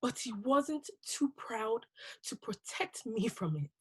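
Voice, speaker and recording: Woman doing a hurt bitter wife monologue, higher voice, really slow and deliberate, intense stage drama. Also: lively intonation, husky, exaggerated voice. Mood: indignant. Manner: deliberate, halting, impassioned delivery. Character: wounded, bitter wife who survived violence and rejection.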